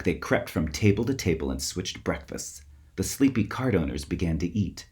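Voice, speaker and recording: A man reading a children's story aloud as its author, with expressive, theatrical emphasis. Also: on the low side. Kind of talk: scripted storybook narration for children.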